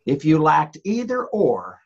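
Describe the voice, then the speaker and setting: A man's voice: a little high, wildly swooping pitch, unhurried, gravelly, husky, highs cut off. An American man in his sixties with a Southern accent, a guest telling his story in a casual podcast talk and laughing often. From United States.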